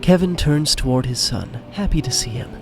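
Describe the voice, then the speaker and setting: A man's voice: medium pitch, animated, moderate pace, music in the background, lightly acted. A man playing the wry, dramatic narrator of a scripted audio drama.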